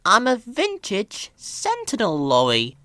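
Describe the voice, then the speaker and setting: A woman's voice: mid-range voice, wildly swooping pitch, takes it slowly, bright, forceful tone, no echo, pitched above the performer's own voice, heavily acted. A woman voicing a rude, proud old female steam lorry in a children's storybook, brusque and scolding. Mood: haughty, scolding.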